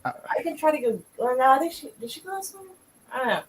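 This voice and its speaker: A woman's voice: sits high, sing-song, fast talker, slight background noise, rough recording. A woman hosting a casual livestream, laughing and chatting with guests and viewers. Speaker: stream host.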